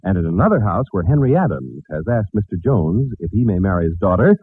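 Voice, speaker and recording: A man's voice: deep voice, big pitch swings, fast talker. A man announcing a 1940s radio show in a projected, formal showman style, reading scripted narration. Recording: scratchy, tinny old-time radio recording.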